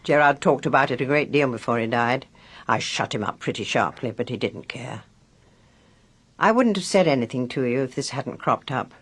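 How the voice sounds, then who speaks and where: A woman's voice: rumbling low, lively intonation, normal speed, husky, full character voice. A woman playing a blunt, bossy, knowing village nurse in a British radio drama. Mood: confiding. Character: brisk, no-nonsense, knowing village nurse, female.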